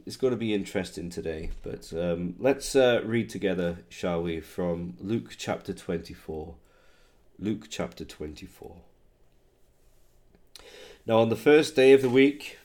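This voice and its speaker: A man preaching with a somewhat low, mellow voice that still sounds hoarse from a recent cold. He talks in a halting way, full of "uh"s, and slows down when he prays or reads scripture aloud. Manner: halting, many fillers, reads scripture slowly. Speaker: pastor. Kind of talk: sermon with scripture reading.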